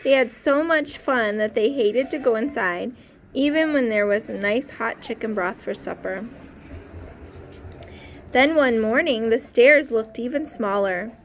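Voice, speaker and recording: A woman with a fairly high voice reads a children's story aloud as a teacher would. She takes it very slowly and expressively, leaving room for questions. Some background noise sits behind her. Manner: expressive, pausing to ask questions. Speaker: teacher reading aloud to a class.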